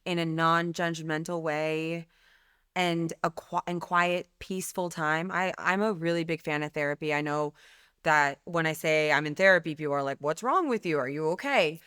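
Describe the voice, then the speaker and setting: A woman's voice: low voice, normal speed, fairly bright tone, clear, resonant tone, no echo, clean studio sound. A woman guest speaking frankly and emphatically in a candid podcast conversation, swearing casually. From Lebanese background. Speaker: guest, company CEO.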